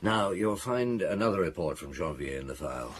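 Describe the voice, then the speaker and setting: A seasoned detective in a radio drama, low-pitched and lively in intonation. He reflects on a case with deliberate, thoughtful authority. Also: a little hiss or hum. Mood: measured, instructing.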